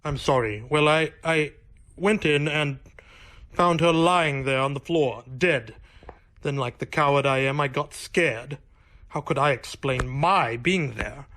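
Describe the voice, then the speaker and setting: An actor playing a defeated, lovelorn gentleman suspect in a radio mystery, speaking in a hesitant, confessional way. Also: a little high, a little slow. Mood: ashamed. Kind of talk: old-time radio mystery acting.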